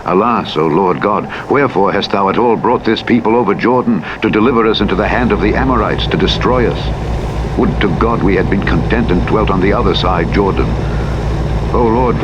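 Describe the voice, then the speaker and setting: A man narrating the Bible in a solemn, measured, formal audiobook style. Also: highs cut off. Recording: loud vehicle engine noise throughout. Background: rumbling truck engine from a driving simulator.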